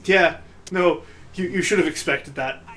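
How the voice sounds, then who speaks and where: A man's voice: high-pitched, unhurried, a bit bright. A man giving casual, joking commentary as he plays a video game, with a sarcastic, mocking way of talking. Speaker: let's play host playing the game.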